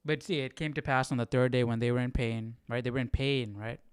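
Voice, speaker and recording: A man's voice: medium pitch, steady tone, fairly quick, smooth, no room sound, clean studio sound. A man hosting a casual Bible study, thinking aloud in a hesitant, questioning way and often checking in with the listener. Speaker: Bible study livestream host.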